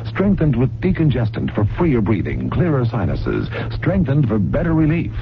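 A man's voice: mid-range voice, wildly swooping pitch, normal speed, slight background noise. A man delivering a scripted radio commercial as its announcer. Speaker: advertising announcer. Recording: muffled vintage radio commercial. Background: music.

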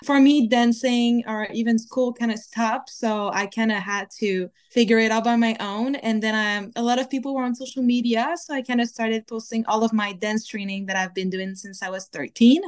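French-Canadian woman, chatty and bright. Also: a little high, steady tone, normal speed, hardly any pauses, smooth. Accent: Quebec French. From Quebec, Canada. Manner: chatty, many "like" and "kinda" fillers. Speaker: guest, dancer. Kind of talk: casual interview chat.

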